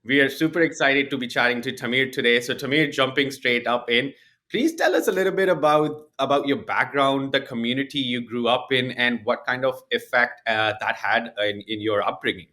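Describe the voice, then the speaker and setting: A man's voice: mid-range voice, normal speed, bright, forceful tone. Man hosting a podcast interview. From South Asia. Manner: friendly, partly scripted introduction. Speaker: podcast host.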